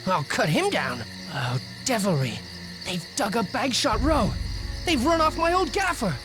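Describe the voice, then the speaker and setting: Homely excitable little hobbit for a game, voice jumps all over, plain talking, music under it. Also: male voice, high-pitched, a little slow. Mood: curious, alarmed. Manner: plain-spoken, emotional, rustic. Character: homely male hobbit servant, simple, loyal, excitable. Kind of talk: fantasy video game voice acting.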